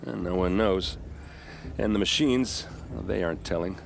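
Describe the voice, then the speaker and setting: An actor playing a friendly, flirtatious soldier in a video game, with a casual, teasing and boastful way of talking. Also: male voice, low voice, normal speed, vocal fry, background noise. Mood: earnest storytelling. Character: swaggering, friendly male vanguard soldier, a flirtatious fighter. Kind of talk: video game dialogue acting.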